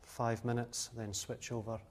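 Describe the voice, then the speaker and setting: A man's voice: medium pitch, little pitch movement, unhurried, a little hiss or hum. Matter-of-fact man in his thirties. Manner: plain, matter-of-fact explanations with frequent "uh". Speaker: distillery owner. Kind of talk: explaining on a tour. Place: indoors in a distillery still house and a cask warehouse.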